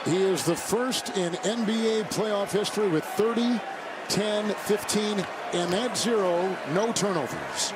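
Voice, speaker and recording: High-voiced male play-by-play man over roaring crowd. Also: a little slow. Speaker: play-by-play sports announcer. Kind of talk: live basketball play-by-play commentary. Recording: very loud arena crowd and court noise.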